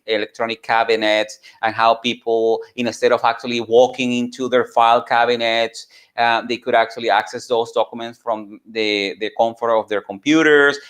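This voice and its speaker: Halting man with a Venezuelan Spanish accent. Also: mid-range voice, fairly bright tone. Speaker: entrepreneur and mentor giving a talk. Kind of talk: informal personal storytelling.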